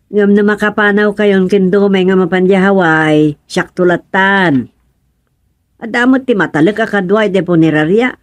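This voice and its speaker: Plain-spoken village neighbour played by a woman, Filipino accent, conversational, expressive, radio comedy-drama. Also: mid-range voice, moderate pace, lightly acted. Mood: businesslike. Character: plain-spoken neighbourhood resident organising communal work.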